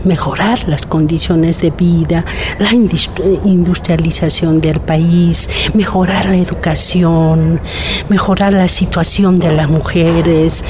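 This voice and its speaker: Bolivian woman interviewee, low-pitched, unhurried, earnest; noisy recording with traffic sounds. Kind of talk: reflective interview answer. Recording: clear background noise, vehicle sounds.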